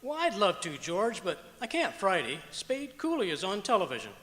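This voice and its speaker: A man's voice: high voice, wildly swooping pitch, normal speed, a bit bright, full character voice. A man playing an eager, dim-witted, self-pleased neighbor in an old-time radio comedy performed on stage. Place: performing arts center auditorium, live stage. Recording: echoey auditorium stage hall.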